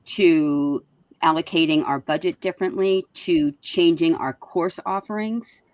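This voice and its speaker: A woman's voice: deep voice, measured pace, darkish tone, no room sound. A woman speaking as a panel guest, conversational and often checking that listeners follow. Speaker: school board member, podcast guest.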